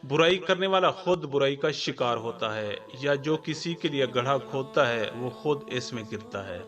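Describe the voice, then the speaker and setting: A man's voice: medium pitch, expressive, moderate pace, music in the background, close to an everyday voice. A man voicing a grave angel in a storybook tale, with formal questioning and judging speech.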